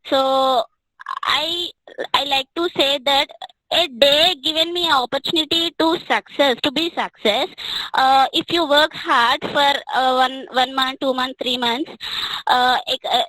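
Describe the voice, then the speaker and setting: A woman's voice: high-pitched, steady tone, measured pace, pushed and bright, no echo, rough recording. A young woman, giving a hesitant talk about a mock interview with many fillers and restarts. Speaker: student speaker.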